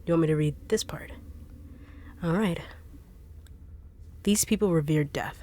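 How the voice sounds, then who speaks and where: Woman, low voice, dry sarcastic no-nonsense delivery for audio drama. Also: a little slow, warm tone, a little hiss or hum. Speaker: voice actor in audio roleplay. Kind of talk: acted audio roleplay.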